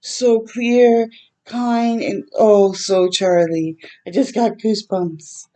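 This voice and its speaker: A woman hosting a children's storytime, reading a picture book aloud in a gentle, expressive way with tender asides to listeners. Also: mid-range voice, slow pace. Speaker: children's read-aloud host.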